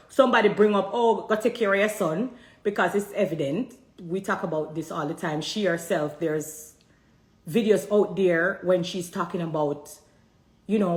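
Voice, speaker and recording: A woman with a Jamaican accent speaks directly to her audience, hammering home her point with repeated rhetorical questions. Her voice sits at an ordinary middle pitch. The room around her rings with noticeable echo. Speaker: vlogger addressing viewers. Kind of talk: direct-to-camera commentary.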